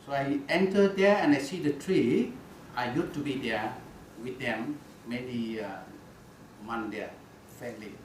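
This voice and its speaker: Monk telling a story in halting English. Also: male voice, medium pitch. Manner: halting non-fluent English, many "uh" fillers and restarts. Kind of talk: storytelling dharma talk. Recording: roomy echo, faint background noise.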